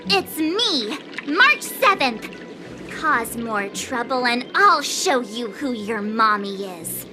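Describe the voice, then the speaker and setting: A woman's voice: fairly high pitch, very animated, slow pace. A woman voicing an eager, perky teenage girl sword apprentice in a video game, earnest, boastful and easily flustered. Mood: defiant. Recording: music under the dialogue.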